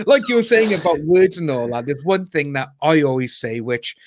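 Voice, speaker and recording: A man's voice: a little high, animated, normal speed, a little hiss or hum. A man in his thirties from Wales with a Cardiff accent, chatting as a guest on a video call, jokey, laughing often and calling people "mate". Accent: Cardiff Welsh. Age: 30s. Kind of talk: casual chat.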